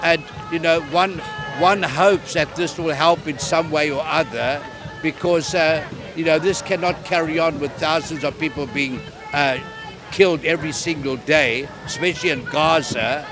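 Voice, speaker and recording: A man in his seventies with a South African accent, talking earnestly in halting phrases during a street interview at an outdoor protest. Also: fairly high pitch. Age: 70s. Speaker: interviewee, tour guide. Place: outdoor protest in a city square. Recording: loud crowd and music behind.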